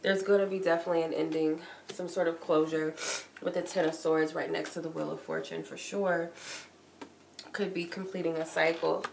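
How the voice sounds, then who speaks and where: Stuffy-nosed woman with a gravelly voice. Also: on the low side, level tone, normal speed. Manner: chatty and loose, many likes and ums. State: stuffy nose from a cold. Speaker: tarot reader. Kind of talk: live-streamed tarot reading, casual chat. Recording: echoey room with noise.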